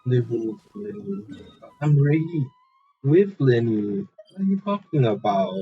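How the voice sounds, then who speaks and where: Male let's play streamer, mid-pitched, offhand muttered remarks, dull recording with faint game music. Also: sing-song, measured pace. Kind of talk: casual let's play commentary.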